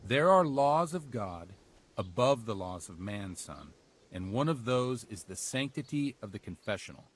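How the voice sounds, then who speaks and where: An actor playing a solemn, fatherly village priest in a video game, speaking in a measured, pious and patronizing manner. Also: on the low side, very animated. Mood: solemn, firm. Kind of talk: video game cutscene acting.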